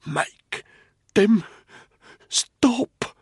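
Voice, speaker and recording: A man voicing a badly wounded, terrified prisoner in an audiobook thriller, breathy and cracking with pain. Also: high voice, lively intonation, drawn-out words, dry recording, studio quality, voice pushed up, heavily acted. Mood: desperate.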